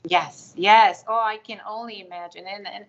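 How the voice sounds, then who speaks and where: A woman's voice: medium pitch, steady tone, normal speed. A woman hosting a TV interview, asking questions in an enthusiastic, affirming way. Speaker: interview host. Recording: dull lo-fi sound.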